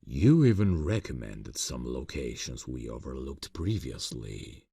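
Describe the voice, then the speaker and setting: A man playing an ancient, courtly vampire boss in an ASMR fantasy roleplay, seductive and quietly menacing, speaking formally and deliberately. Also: sing-song, no room sound, studio quality, heavily acted. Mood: solemn, confiding. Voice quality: very deep, gravelly, breathy. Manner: slow, formal, silky, deliberate. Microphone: close microphone, dry sound.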